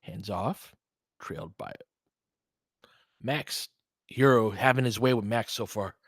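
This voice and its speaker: A man's voice: lowish voice, slow, husky, no echo, studio quality. A man doing casual basketball play-by-play as a stream host, in clipped phrases with chatty asides to listeners.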